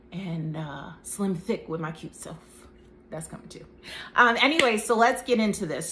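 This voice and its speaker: A woman's voice: on the low side, slight background noise. A woman in her thirties giving a casual health talk to camera, chatty, with joking asides. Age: 30s. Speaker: vlogger.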